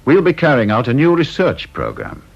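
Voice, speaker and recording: Sardonic British interviewer, wildly varied intonation. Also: male voice, medium pitch, wildly swooping pitch, moderate pace, creaky, slightly rough, full character voice. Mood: smooth, authoritative. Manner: dry, teasing, mock-polite. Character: sardonic, talkative male senior security interviewer. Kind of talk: radio drama acting. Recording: dull, muffled old radio recording.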